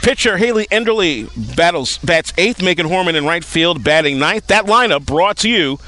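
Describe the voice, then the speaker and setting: A man calling live softball play-by-play as a radio-style sports announcer, outdoors at a ballfield. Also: sits high, animated, normal speed.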